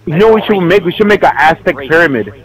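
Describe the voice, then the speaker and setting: A young man playing along with friends, excitable and quick to swear when surprised. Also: on the high side, low-quality recording. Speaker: fellow player. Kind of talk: casual gaming chat.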